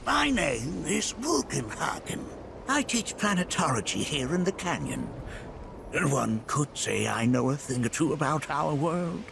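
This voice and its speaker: Eccentric old game sage: courtly, unhurried, wildly swooping intonation. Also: male voice, fairly high pitch, very animated, noisy background, exaggerated voice. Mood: genial, proud. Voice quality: creaky aged voice. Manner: courtly, leisurely, grandfatherly. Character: genial elderly male planetology scholar, chuckling, eccentric and learned. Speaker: teacher. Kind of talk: video game cutscene acting. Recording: music behind the voice.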